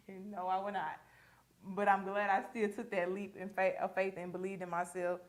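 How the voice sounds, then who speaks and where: A woman's voice: medium pitch, level tone, moderate pace. Makeup artist, woman, twenties, chatty and explains her work as she goes. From Mississippi. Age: 20s. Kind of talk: casual chat while working. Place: beauty studio.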